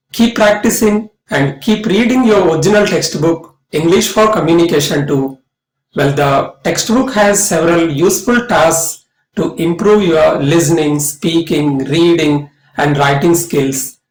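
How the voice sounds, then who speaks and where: High-pitched, animated Indian man teaching. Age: middle-aged. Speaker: college English lecturer. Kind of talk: scripted lesson narration for students. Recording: echoey room sound.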